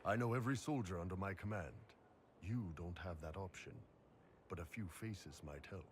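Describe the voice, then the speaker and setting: A man's voice: sits low, normal speed, slight background noise, natural voice. A man playing a seasoned, unbothered warrior in a game, blunt and laid-back in his talk. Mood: easygoing. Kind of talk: game cutscene acting.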